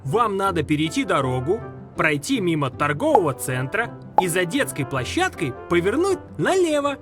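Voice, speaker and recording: A woman voicing a friendly, helpful talking navigator in a children's cartoon, giving clearly phrased instructions. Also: lowish voice, very animated, normal speed, over music. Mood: helpful, upbeat.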